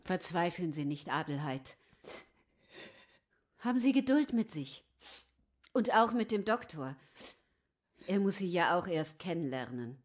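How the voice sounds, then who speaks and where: A caring lady of a well-off household in a radio drama. Her woman's voice is on the low side, gentle and polite. She coaxes with quiet concern. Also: animated, unhurried, pauses often. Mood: gentle, reassuring. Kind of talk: German radio drama acting.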